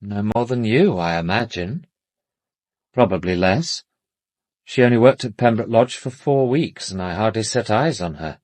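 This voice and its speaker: An actor playing a polite, defensive gentleman in a radio drama, guarded and formal in manner. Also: fairly low pitch, expressive, moderate pace, no echo. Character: smooth-mannered, defensive upper-class male family associate.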